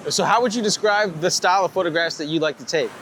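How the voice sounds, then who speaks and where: A man interviews someone casually out on the street, speaking quickly in a slightly high voice. Faint city traffic runs behind his questions. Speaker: host interviewer. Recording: outdoor street recording with city traffic noise.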